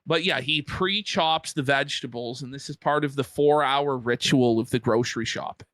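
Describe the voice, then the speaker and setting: A man's voice: medium pitch, moderate pace, fairly bright tone, no echo. A man co-hosting a commentary show, sarcastically mocking a streamer and swearing freely. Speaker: co-host.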